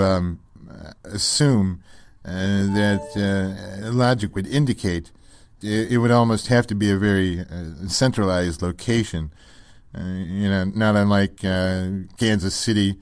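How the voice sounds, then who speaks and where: A man playing a mild, pompously wordy pseudo-intellectual radio co-host in a comedy sketch, deadpan and very hesitant, stammering through his musings. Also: fairly low pitch, clean tone, no echo.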